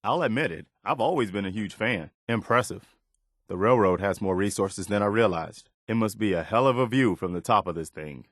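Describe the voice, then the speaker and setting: A man's voice: sits low, dry recording, studio quality, close to an everyday voice. An actor playing an earnest, dutiful militiaman in a post-apocalyptic video game, sincere, reassuring and plain-spoken. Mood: wry, observant. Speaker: video game companion character. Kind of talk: scripted game dialogue lines.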